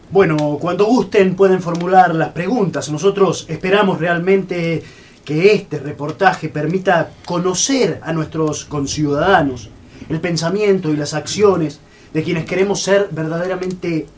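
Slow, solemn revolutionary patriot, played by a man. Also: fairly high pitch, a little hiss or hum, lightly acted. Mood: hushed, wary. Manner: solemn, declamatory. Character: earnest, cautious male 1810 independence leader. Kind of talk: radio play acting.

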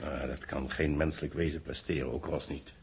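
Gravelly, low-voiced formal worried official. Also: male voice, flat delivery, a little hiss or hum. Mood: grave, pondering. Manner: measured, formal, deliberate. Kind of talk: 1960s Dutch radio play acting. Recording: muffled-edged vintage 1960s radio recording.

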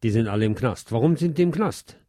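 A man presenting a scripted film review as a radio host, measured and articulate in his delivery. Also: mid-range voice, animated, warm tone, no room sound, studio quality.

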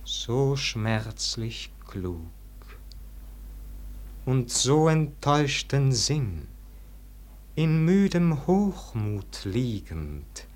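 An actor playing a weary, disillusioned nobleman in a classic German radio verse drama, declaiming solemnly and theatrically, at times falling to hushed tones. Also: mid-range voice, big pitch swings, drawn-out words, long pauses. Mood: resigned, hushed. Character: weary, disillusioned nobleman brooding over an unlived life.

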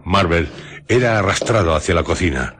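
Very deep male radio narrator. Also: lively intonation, moderate pace, noisy background. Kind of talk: scripted radio drama narration.

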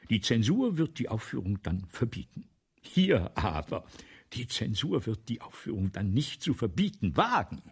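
An actor playing a vain, excitable playwright in a German radio play, with a pompous, theatrical, lecturing manner. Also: male voice, on the low side, a little hiss or hum. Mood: excited, proud. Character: vain, excitable, self-dramatizing 19th-century male playwright.

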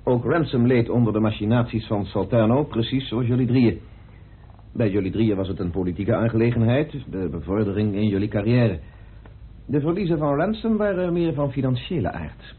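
A man's voice: medium pitch, normal speed, slightly rough, natural voice. An actor in a radio play voicing a probing, formal man who questions acquaintances precisely. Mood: stern, insistent. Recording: muffled phone-like old radio recording.

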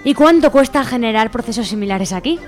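A woman's voice: on the high side, lively intonation, fairly quick. A woman with a Spain Spanish accent co-hosting a radio show and reading a scripted political editorial. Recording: music bed behind the voice. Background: music.

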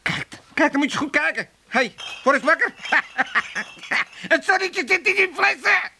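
An actor playing a crafty, excitable old father in a comic radio drama, spluttering and repeating himself. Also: very high voice, a little slow, gravelly, a little hiss or hum, heavily acted. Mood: merry. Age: older.

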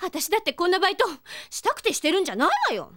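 A woman playing a feisty, hot-tempered young rocket pilot in a comic audio drama, brash and quick to complain. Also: extremely high pitch, big pitch swings, a bit bright, exaggerated voice. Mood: frustrated.

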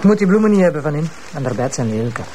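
An actress playing a sharp-tongued deputy prosecutor and exasperated wife with a Flemish accent, dry and sarcastic, in a television drama. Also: female voice, low voice, big pitch swings, rapid-fire, music in the background. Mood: sarcastic, hurt. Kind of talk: naturalistic television drama dialogue.

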